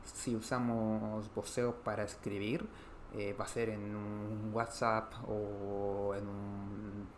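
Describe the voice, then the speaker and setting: A man from Chile with a Chilean Spanish accent, explaining grammar in an online language lesson, pausing often on "eh" and restarting phrases. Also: lowish voice, slight background noise. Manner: explanatory, frequent "eh" fillers, repeats and restarts. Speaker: Spanish teacher.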